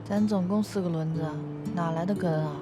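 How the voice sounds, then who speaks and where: A woman's voice: lowish voice, fairly even intonation, brisk, over music, close to an everyday voice. A woman playing a young wife and mother in a naturalistic screen drama, colloquial and plain-spoken. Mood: downcast, skeptical. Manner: terse, questioning, colloquial. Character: downcast, skeptical young wife and doting mother.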